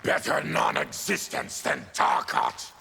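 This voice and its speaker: An actor playing a fierce, bitter, diseased monster warrior in a fighting game, with a gravelly growl and a forceful, solemn manner. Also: male voice, fairly high pitch, huge pitch swings, slow pace, slight background noise, full character voice. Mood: bitter, defiant. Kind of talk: fighting game character dialogue.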